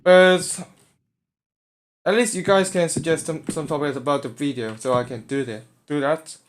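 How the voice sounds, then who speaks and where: A man from Hong Kong with a Hong Kong English accent, hosting a live voice forum in a halting, self-correcting way while he sets up his stream. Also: on the high side, fairly even intonation, a little hiss or hum. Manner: halting, restarting, fussing with his stream setup.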